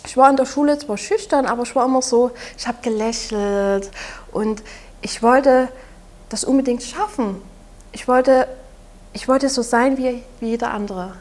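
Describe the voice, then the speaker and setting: Soft-spoken Saxon woman in her twenties. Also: a little high. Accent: Saxon German. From Saxony, Germany. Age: 20s. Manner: halting, soft-spoken, with frequent restarts. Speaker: interview guest. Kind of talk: personal testimony in an interview.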